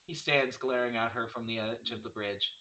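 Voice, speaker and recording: A man's voice: mid-range voice, fairly even intonation, normal speed, sharp tone, rough recording. A man reading the stage directions of a horror screenplay as scripted narration.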